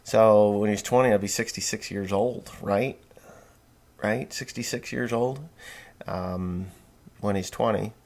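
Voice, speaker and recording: A man's voice: fairly low pitch, steady tone, measured pace, creaky, a little mellow. A man in his forties, from New Jersey, talking bluntly and folksily in a rambling monologue, with many fillers and restarts. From New Jersey, USA. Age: 40s. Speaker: podcast host.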